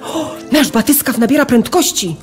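A woman's voice: high voice, expressive, moderate pace, music in the background. A woman acting an eager, curious young boy explorer in a children's audio drama, full of wonder. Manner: wondering, enthusiastic.

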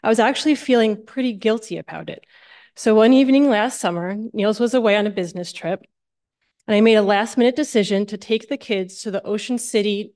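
A woman's voice: medium pitch, darkish tone. A middle-aged American woman from New Jersey giving a sermon with personal storytelling at a church lectern. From New Jersey, United States. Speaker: church guest speaker.

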